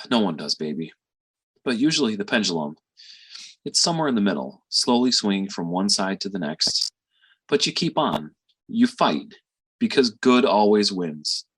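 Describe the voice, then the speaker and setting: Guy playing a tired gentle doctor dad in a play, lowish raspy voice, pauses a lot, reflective. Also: very animated, heavily acted, rough recording. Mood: grave, earnest. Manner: reflective, halting with sighs and pauses. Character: weary, tender middle-aged physician father consoling grown daughter. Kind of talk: dramatic stage monologue.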